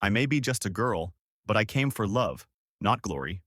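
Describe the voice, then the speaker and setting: A man's voice: lowish voice, animated, moderate pace, fairly bright tone, dry recording, clean studio sound. A man voicing a brave young village girl with an earnest, resolute storybook delivery.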